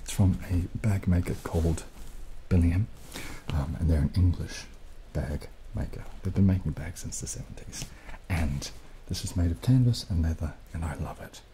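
Very deep, soft-spoken young man. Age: young adult. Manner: soft-spoken, hesitant, unhurried. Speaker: ASMR video creator. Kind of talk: casual ASMR, partly whispered monologue. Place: small dim indoor room. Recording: clearly audible background noise, added rain.

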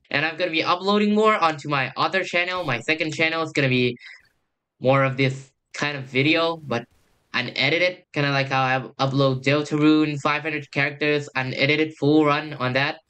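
Bright-voiced young man rambling over gameplay. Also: on the high side, smooth. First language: Thai. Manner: rambling, hesitant, trails off with "I guess". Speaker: youtuber. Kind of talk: casual gameplay commentary.

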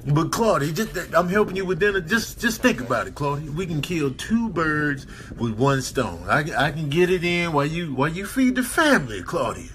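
A man voicing a smooth-talking, amorous male lion who coaxes a huntress in a comedy animal dub. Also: fairly high pitch, sing-song, moderate pace, a bit bright, a little hiss or hum. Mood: flirtatious. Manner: drawling, coaxing.

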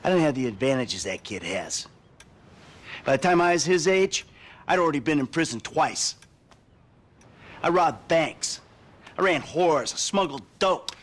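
A man's voice: a little high, big pitch swings, a little slow, pauses often. An American man acting a sardonic, world-weary middle-aged retired criminal in a video game cutscene. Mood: bitter, defensive.

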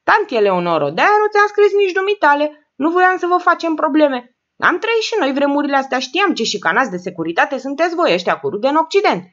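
A woman's voice: sits high, sing-song, a bit bright, highs cut off, voice pushed up. A woman reading aloud and voicing a polite man trying to placate others. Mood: placating. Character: polite conciliatory adult man.

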